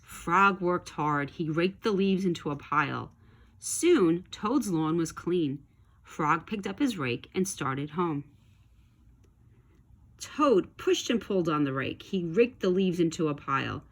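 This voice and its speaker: A woman reading a children's story aloud with expressive narration. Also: lowish voice, slow pace. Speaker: storybook reader.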